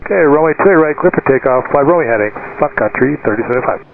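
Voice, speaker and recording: A man's voice: medium pitch, expressive, very fast, gravelly. A man working as a pilot, giving clipped readbacks over aircraft radio. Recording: tinny, noisy aircraft radio transmission.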